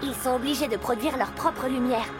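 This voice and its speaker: A woman's voice: high voice, fairly quick, natural voice. A woman voicing a friendly, knowledgeable undersea explorer in an educational children's cartoon, explaining things in an encouraging way. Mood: reassuring. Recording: music behind the voice.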